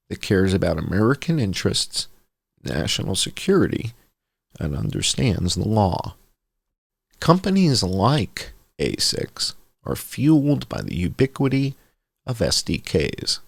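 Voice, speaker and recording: A man reading a journalistic article aloud as scripted narration, with measured, deliberate phrasing and stretched words for emphasis. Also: lowish voice, creaky, no room sound.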